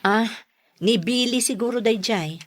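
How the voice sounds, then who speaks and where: An actress in an Ilocano radio drama playing a skeptical, scolding elder sister with a chiding, matter-of-fact manner. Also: female voice, fairly high pitch, a little slow, natural voice. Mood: teasing.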